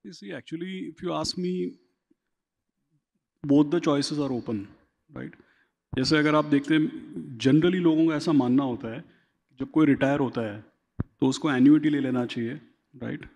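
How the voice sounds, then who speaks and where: Brief: male finance expert, gravelly, higher-pitched, explanatory, reverberant room. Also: slow, long pauses, a little mellow. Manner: explanatory, seeks agreement with repeated tag questions. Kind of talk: conversational interview, Hindi-English mix.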